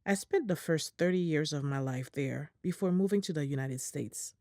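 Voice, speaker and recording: A woman reading a prepared statement aloud in English in a measured, formal way, as an interpreter on a video call. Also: low voice, darkish tone, no echo, studio quality.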